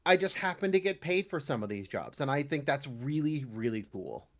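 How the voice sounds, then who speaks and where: A man's voice: medium pitch, lively intonation, normal speed. A man speaking as a guest voice actor in a casual podcast interview, acting out imagined remarks as he explains.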